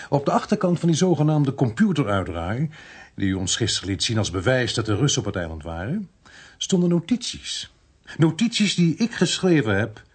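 A dogged police inspector in a Dutch radio drama. The voice is husky, with animated rises and falls. He sounds suspicious and deliberate, making every point firmly. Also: mid-range voice. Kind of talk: Dutch radio play acting with first-person narration.

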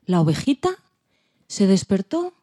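A woman's voice: mid-range voice, sing-song, unhurried, mellow. A woman with a Spain accent narrating a dramatized children's story expressively. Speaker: podcast presenter and story narrator.

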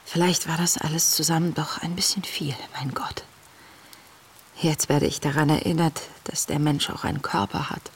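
An actress playing an intense, devout young woman diarist in a radio play, speaking in a hushed, introspective, tender and grieving way. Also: deep voice, steady tone, moderate pace, slight background noise. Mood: weary, reflective. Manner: hushed, introspective, often near a whisper. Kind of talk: intimate radio play monologue, diary reading.

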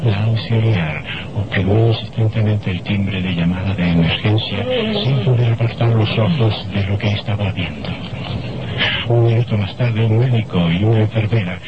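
A man's voice: lowish voice, normal speed. A man with a Chilean accent giving dramatic scripted narration for a horror radio play. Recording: muffled old radio recording, loud music.

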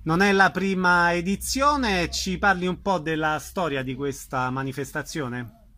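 A man's voice: fairly high pitch, unhurried, sharp tone. A man conducting a conversational interview as the interviewer.

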